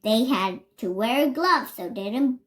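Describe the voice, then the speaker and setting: A child reading a children's picture book aloud, carefully and a little haltingly, stressing words as they go. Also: mid-range voice, animated, a bit bright. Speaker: reader.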